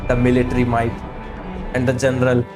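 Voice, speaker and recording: Very low-pitched woman streaming a game. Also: monotone, fairly quick. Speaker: livestreamer. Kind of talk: casual live game reaction. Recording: loud game music behind.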